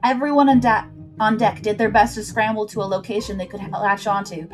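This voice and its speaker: A woman's voice: mid-range voice, steady tone, fairly quick, faint music behind. A woman storyteller reading scripted adventure fiction aloud in a dramatic narrating style. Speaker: storyteller, livestream host.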